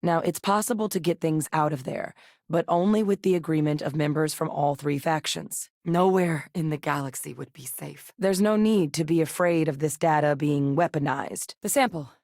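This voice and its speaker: An earnest low-voiced scientist. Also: female voice, a little mellow, dry recording, clean studio sound. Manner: measured, earnest, low-key. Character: earnest woman scientist, candid and quietly troubled. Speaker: game character. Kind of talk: video game dialogue.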